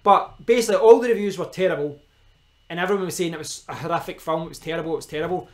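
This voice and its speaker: A man's voice: on the high side, very fast. A young man with a strong Glaswegian Scottish accent, rambling casually to viewers on a live stream from his kitchen, with frequent restarts and asides. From Scotland. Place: kitchen at home.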